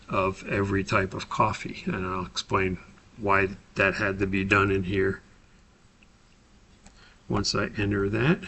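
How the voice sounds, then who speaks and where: A man giving a methodical, step-by-step software tutorial as an instructor, explaining in a somewhat hesitant way. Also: on the low side, gravelly, breathy edge.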